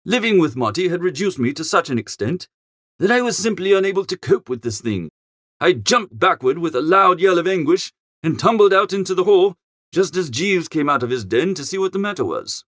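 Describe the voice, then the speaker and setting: A man narrates a storybook as a flustered young gentleman of leisure. His voice sits high and swoops about with lively inflection. He sounds posh and chatty, given to grumbling exclamations. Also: brisk, no echo, studio quality. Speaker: audiobook narrator. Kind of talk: animated first-person storybook narration in character.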